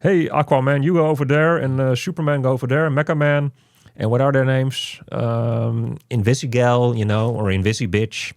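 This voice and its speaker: A man's voice: mid-range voice, fairly even intonation, normal speed, dry recording, clean studio sound. A man casually hosting an unscripted video game review, chatty and rambling, often repeating words and phrases. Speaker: host of a game review channel.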